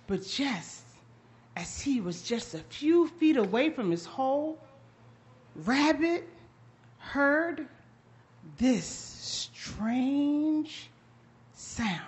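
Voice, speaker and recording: A woman performing high-energy interactive folktales for children, dramatic and emphatic, with deliberate pauses. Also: expressive. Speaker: children's storyteller.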